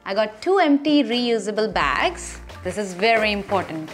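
A woman's voice: medium pitch, big pitch swings, normal speed, over music. A woman vlogger talking casually through her packed bags, listing items one by one with small fillers along the way. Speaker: vlogger showing her packing. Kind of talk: casual show-and-tell.

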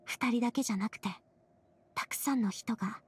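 An actress playing a gentle, earnest young lady who leads others, in a Japanese video game. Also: a little high, a little fast, slight background noise, natural voice. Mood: sorrowful.

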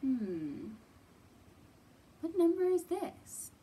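A woman in her thirties presenting a children's storytime as a librarian, talking simply to small children with drawn-out words and thoughtful hums. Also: very high voice, very animated, mellow. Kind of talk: children's storytime teaching, read-aloud. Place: indoors in a library.

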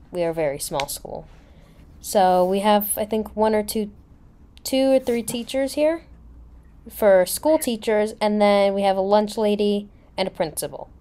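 A woman playing a kindly, reassuring schoolteacher with a gentle manner in an amateur roleplay. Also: mid-range voice, a little hiss or hum, natural voice.

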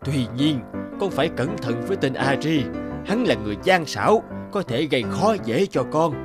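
A man's voice: on the high side, very animated, normal speed, over music. An actor playing a kindly, solemn male god giving advice in a cartoon. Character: wise benevolent male god.

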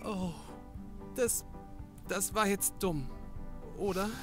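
A man's voice: high voice, slow, faint music behind. A man playing a nervous, fussy detective's assistant in a comedy audio drama, chattering and stumbling over words. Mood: indignant. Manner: fussy, stammering, indignant.

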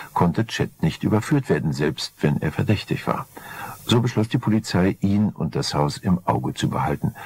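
Older male narrator, very low husky voice, dry measured crime storytelling. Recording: 1980 vinyl record transfer.

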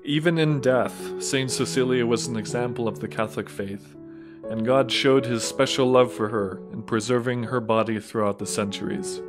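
Man, scripted storytelling narration, mid-pitched voice, quiet music underneath. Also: moderate pace.

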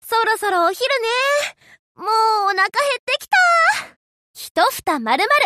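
A young anime warship girl for a game, devoted and cheerful. Her voice is extremely high and bright, with a perky, girlish delivery that swings widely in pitch. She speaks with sweet familiarity, like an earnest secretary. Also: lively intonation, measured pace, heavily acted. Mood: friendly, chatty. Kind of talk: Japanese game voice acting, short scripted lines.

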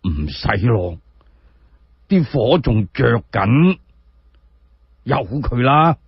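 A man with a Cantonese accent narrates a tale in dramatic, expressive fashion. His voice is raspy and swings widely in pitch. The recording is dry and slightly muffled. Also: dry recording. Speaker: solo storyteller. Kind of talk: dramatic scripted storytelling narration. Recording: dry, dull lo-fi audio.

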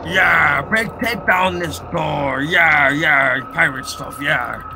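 A man's voice: on the high side, takes it slowly, creaky, noisy background. A man voicing a goofy, blabbermouth animatronic pirate fox in a casual game roleplay. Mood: blabbing. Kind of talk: improvised game roleplay acting.

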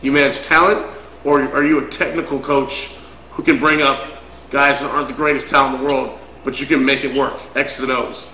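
A talkative male co-host with a bright, forceful voice who jokes his way through a casual chat. He drops "brother" and "man" into his sentences, and the room around him adds a roomy echo. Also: mid-range voice, little pitch movement, a little fast, a little hiss or hum. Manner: chatty, joking, says brother and man a lot. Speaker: podcast co-host.

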